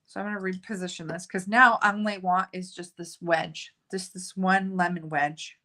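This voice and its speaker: A woman's voice: on the low side, fairly even intonation, moderate pace, pushed and bright. A woman hosting a casual live card-making tutorial, chatting as she works and joking about her own slips. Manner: chatty, self-narrating, laughs at own slips.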